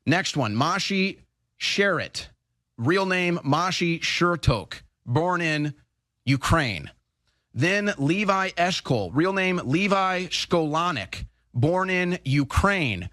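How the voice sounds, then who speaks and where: A man rattling off a list in quick succession, one item after another, in a casual way. His voice sits a little higher than average. The sound is dry and close, with no room around it. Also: lively intonation, sharp tone, no room sound.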